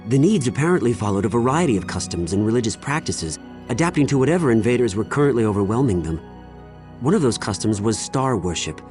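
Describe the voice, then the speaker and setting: A man's voice: medium pitch, lively intonation, fast talker, darkish tone, faint music behind, lightly acted. A man playing a learned, lecturing scholar of ancient religion in fantasy game dialogue. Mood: scholarly.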